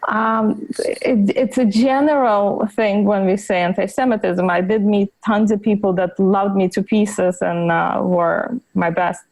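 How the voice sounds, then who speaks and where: A woman's voice: medium pitch, steady tone, a little slow, hardly any pauses, darkish tone, low-quality recording. A middle-aged woman from Ukraine with a Russian accent, a podcast guest on a video call who talks hesitantly with many fillers and restarts. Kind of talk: interview over video call.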